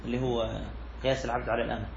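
A man's voice: mid-range voice, flat delivery, racing, vocal fry, lo-fi sound, noisy background. A man teaching a class on a classical jurisprudence text, repeating key phrases and putting questions to his students. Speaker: sheikh teaching Islamic jurisprudence. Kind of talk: classroom lecture explaining a text.

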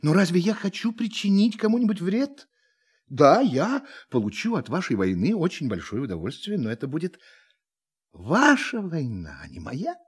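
A condescending alien visitor in a Russian audio drama. His slightly husky voice leaps wildly up and down, mock-polite and theatrical, relishing his game with the humans. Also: a little high, wildly swooping pitch, moderate pace, exaggerated voice. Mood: smugly condescending.

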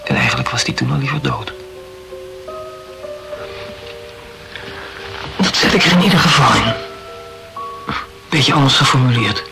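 Gravelly brooding poet in a radio play, halting, dry irony, faint music behind. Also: male voice, medium pitch, huge pitch swings, poor audio. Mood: wry, mocking. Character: brooding, self-absorbed male poet, wryly morbid about his youth. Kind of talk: Dutch radio play acting.

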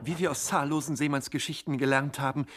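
An actor as a dry, mock-serious second narrator in a scripted radio serial. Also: male voice, a little high, fairly even intonation, quick pace, a bit bright, slight background noise, natural voice.

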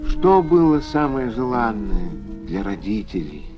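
Expressive man narrating solemnly over music. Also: on the low side, unhurried, lo-fi sound. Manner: dramatic, rhetorical, emphatic. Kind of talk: solemn scripted documentary narration for children.

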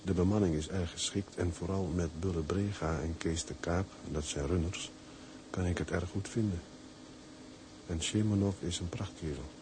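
A man's voice: deep voice, normal speed, lightly acted. A man playing an earnest ship's mate narrating a letter home in a Dutch radio drama, plain and content. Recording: noisy ambience behind voice.

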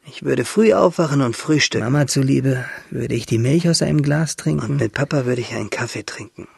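An actor playing a dying young man in a radio drama, husky and gravelly, musing intimately in speech, bitter yet playful, asking and answering himself. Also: medium pitch, a little fast, darkish tone, dry recording, studio quality. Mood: wistful, tender.

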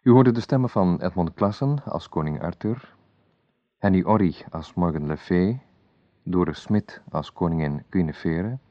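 A man's voice: sits low, moderate pace, dry recording, lo-fi sound. A man announcing radio drama credits in a formal, scripted read.

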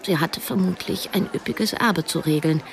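A woman's voice: fairly low pitch, normal speed, vocal fry. An actress in her early thirties playing a broke, self-doubting young woman, narrating a radio drama in a reflective, literary way. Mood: reflective, wry. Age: early 30s. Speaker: narrator and lead character. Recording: music behind the voice.

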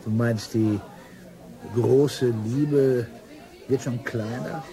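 A man's voice: medium pitch, fairly even intonation, very slow, vocal fry, a crowd in the background. An actor playing a boastful, hard-drinking male barfly in a German radio drama, self-important and mock-grand.

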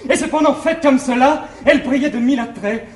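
A lovestruck young gentleman of classic French farce. His very high voice races along in anxious, effusive theatrical declamation. The old stage recording is roomy and echoing. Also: fairly quick. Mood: rapturous, effusive. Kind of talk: classical stage comedy acting.

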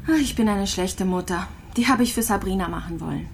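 An actress playing a resigned, gravely ill young mother in a radio play, speaking in a listless, brooding way, full of self-reproach. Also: medium pitch, brisk, a little hiss or hum, close to an everyday voice.

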